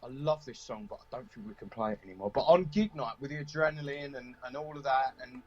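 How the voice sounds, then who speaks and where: A man's voice: mid-range voice, quick pace, a little mellow. A man chatting casually as an interviewed band member, emphatic and self-deprecating. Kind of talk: casual chat. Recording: dull lo-fi call audio.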